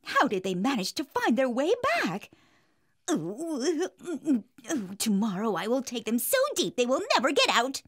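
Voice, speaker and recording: A woman playing a scheming, sly wicked older woman in a children's cartoon, drawn-out and theatrical. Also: on the high side, very animated, dry recording, studio quality. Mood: scheming, irritated. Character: scheming stepmother and sly old witch.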